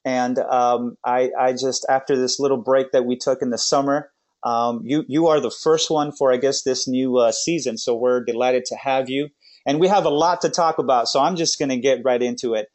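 A man's voice: medium pitch, steady tone, moderate pace. Rambling male podcast host. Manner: rambling, restarts often, friendly interjections. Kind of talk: podcast interview.

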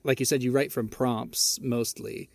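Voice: medium pitch, fairly even intonation, unhurried, no echo, clean studio sound. An interview host asking long, open questions in a curious, conversational way.

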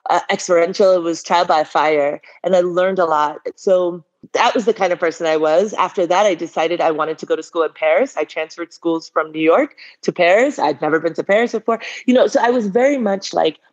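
A woman in her forties speaks as a guest in an interview, lower-pitched for her sex. She tells her story in a lively, candid way and laughs often. The audio is dull and lo-fi. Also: a little fast. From Liberia. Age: 40s.

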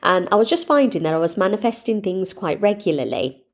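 A woman's voice: medium pitch, expressive, brisk. Bunged-up chatty woman podcast host. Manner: chatty, upbeat, rambling with fillers. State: congested with a cold. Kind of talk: casual solo podcast chat.

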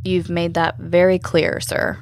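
An actress playing a wistful supernatural radio host in a scripted first-person audio drama. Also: female voice, lowish voice, completely flat delivery, unhurried. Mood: drowsy, dry. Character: wistful woman supernatural radio host telling her story. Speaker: radio show host and narrator.

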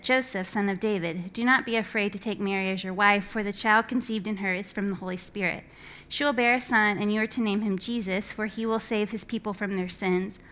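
A woman's voice: medium pitch, a bit bright. A woman reading a Bible narrative aloud from a written text. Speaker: scripture reader.

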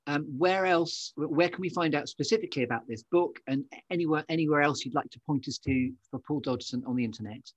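A man's voice: fairly high pitch, brisk, few pauses, no echo, dull audio. A man hosting an interview podcast in a conversational, effusive and wordy way, full of compliments. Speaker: podcast host.

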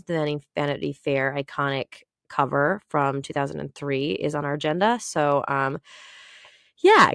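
A woman's voice: sits low, gravelly, no echo, studio quality. A woman hosting a podcast solo, chatting casually in a gushing, emphatic, rambling way. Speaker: podcast host.

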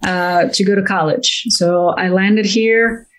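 A woman in her fifties from Italy, a wealth advisor chatting as a guest in an interview, eager and quick, often repeating words as she gets going. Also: mid-range voice. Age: 50s. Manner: enthusiastic, quick, repeats words when excited. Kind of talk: casual interview chat.

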